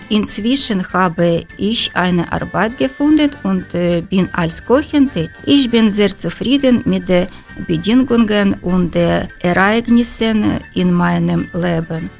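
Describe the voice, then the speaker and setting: A woman reads a prepared statement, stumbling now and then over filler sounds. Her voice has a strong gravelly fry. Soft music sits faintly in the background. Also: on the low side. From Ukraine. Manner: hesitant reading with frequent fillers. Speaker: refugee giving testimony. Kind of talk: read personal testimony on radio.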